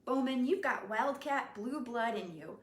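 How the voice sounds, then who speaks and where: A woman reading the part of a spirited, caring teenage girlfriend, teasing and unhurried, in an echoey room. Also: on the high side, lively intonation. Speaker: reader.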